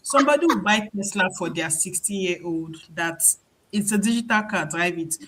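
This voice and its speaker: A panelist with a lively, joking manner in a casual roundtable discussion over a video call. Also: medium pitch, normal speed. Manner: lively, joking, laughs easily.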